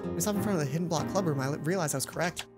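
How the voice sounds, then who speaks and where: A woman's voice: sits low, rapid-fire. A woman hosting a let's play, reading a visual novel aloud dramatically with casual asides, music behind her.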